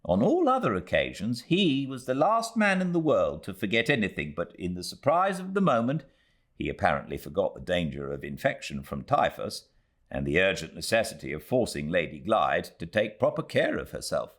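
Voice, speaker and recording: Deep-voiced British man narrating, expressively varied. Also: sing-song, moderate pace, fairly bright tone. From Britain. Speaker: audiobook narrator. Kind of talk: literary audiobook narration.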